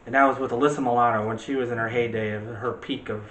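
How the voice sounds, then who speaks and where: A man's voice: mid-range voice, steady tone, quick pace, a bit bright, slight background noise. A man hosting a movie discussion show in a casual, chatty and opinionated way. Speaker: host of a movie review show. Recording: echoey room.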